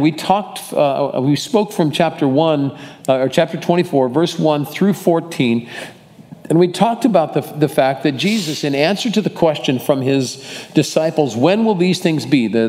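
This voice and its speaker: A male pastor leading a casual Bible study for his congregation, talking in a rambling way and correcting himself often. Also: on the high side. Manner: warm, rambling, self-correcting delivery. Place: church sanctuary with congregation. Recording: long hall reverb.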